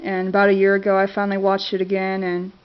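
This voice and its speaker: A woman's voice: medium pitch, completely flat delivery, fast talker, smooth. A congested woman with a cold talks casually to her camera about movies she loves, hesitant and full of fillers. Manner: hesitant, many fillers and restarts. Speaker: vlogger reviewing favorite movies. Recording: dull lo-fi camera audio.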